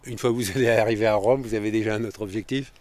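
A man's voice: lowish voice, fairly even intonation, rapid-fire, a little mellow, no echo. A man hosting a casual field interview, asking short questions and giving brief acknowledgments. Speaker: podcast host, interviewer.

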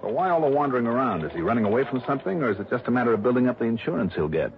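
An actor playing a sharp, confident male insurance investigator in a 1960s radio drama, reasoning briskly and a little smugly. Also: mid-range voice, big pitch swings. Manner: brisk, smug, reasoning aloud. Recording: dull, noisy vintage radio recording.

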